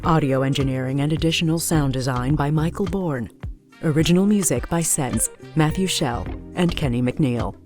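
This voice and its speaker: A woman's voice: low-pitched, normal speed. A woman reading scripted narration and credits as the narrator of an audio drama. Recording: music behind the voice.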